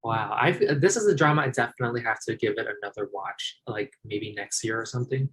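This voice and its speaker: A man's voice: mid-range voice, fast, a bit bright, husky, low-quality recording. A man talking as a guest on a podcast, easygoing and enthusiastic in casual chat. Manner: easygoing, enthusiastic, quotes himself playfully. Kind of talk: casual chat about a TV drama.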